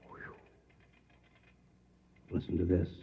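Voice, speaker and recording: A man's voice: slow, natural voice. An actor playing an ordinary man, urgent and determined, with intense, emphatic delivery in a 1950s radio drama. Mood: agitated. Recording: tinny, reverberant old radio recording.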